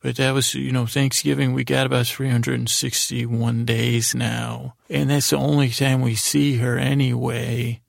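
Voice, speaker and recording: Male podcast host for a rambling bedtime monologue: deeply creaky, dry close sound, halting delivery that keeps doubling back on itself. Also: level tone, no room sound. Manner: meandering, halting, frequent self-interruption.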